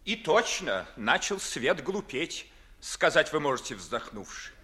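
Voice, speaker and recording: An eloquent, mocking freethinker in vintage radio theatre. His bright voice sweeps widely up and down as he declaims verse with ardent, oratorical phrasing. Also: fairly high pitch, big pitch swings, measured pace, heavily acted. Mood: mocking, impassioned. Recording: 1950s Soviet radio play recording.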